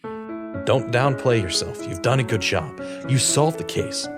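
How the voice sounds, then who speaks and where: A mid-range male voice from an actor with clear music behind it. He plays a stern investigator and mentor in an audio drama, with widely swinging, dramatic intonation. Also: medium pitch, lively intonation, a little slow, natural voice. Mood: proud, reassuring.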